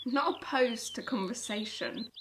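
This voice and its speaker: A woman's voice: fairly high pitch, a little slow, natural voice. A young woman acting in an audio drama as a guarded, lonely wartime farm worker, speaking hesitantly. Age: young adult. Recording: noisy recording with birdsong. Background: birdsong.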